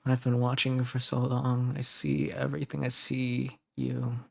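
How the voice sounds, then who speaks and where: A man performs a devoted, possessive boyfriend in a romance audio drama. His mid-pitched voice is gravelly with vocal fry, and he pleads tenderly and earnestly, pausing for dramatic weight. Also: monotone. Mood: fervent, protective. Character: intensely devoted male lover, tender, protective, possessive. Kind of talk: romantic roleplay audio acting.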